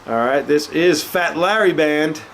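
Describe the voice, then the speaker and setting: A man's voice: fairly high pitch, takes it slowly, background noise. A man with a cold and a slightly nasal voice, casually chatting as he shows off records from his collection. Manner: relaxed, chatty, with frequent fillers. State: congested with a cold, sniffling. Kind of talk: casual show-and-tell chat.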